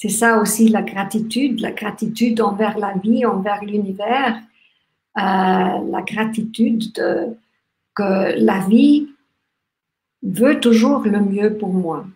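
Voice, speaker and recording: A woman in her fifties from Austria with an Austrian German accent, German her first language, giving a gentle, reflective live talk with many restarts and fillers. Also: medium pitch, slow. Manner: talks with lively hand gestures. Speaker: workshop host. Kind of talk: live online talk on gratitude. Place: small room indoors. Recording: echoey room.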